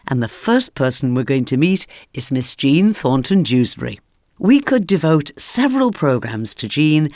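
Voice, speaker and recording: Expressive female radio presenter, very dry sound. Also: low voice, no room sound. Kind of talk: scripted narration introducing archive interviews. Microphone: close studio microphone, dry.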